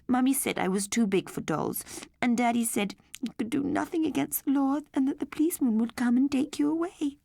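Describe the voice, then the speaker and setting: A woman's voice: fairly quick, vocal fry, hardly any pauses, mellow. A woman voicing a distraught, defiant little schoolgirl in an audiobook story, pleading and emotional. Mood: tearful. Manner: pleading, emotional, high-pitched outbursts.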